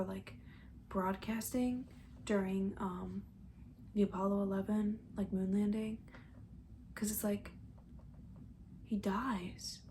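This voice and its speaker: A woman playing a comforting young summer camp counselor in an ASMR roleplay, talking softly and near a whisper in a casual, rambling way. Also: mid-range voice, a little hiss or hum, pitched below the performer's own voice, natural voice. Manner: hushed, rambling, casual, reassuring.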